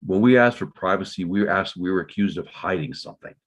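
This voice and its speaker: Man acting a low, husky, burdened father. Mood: defensive. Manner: halting, measured, explaining himself. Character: burdened, defensive father full of regret. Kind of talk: dramatic table read.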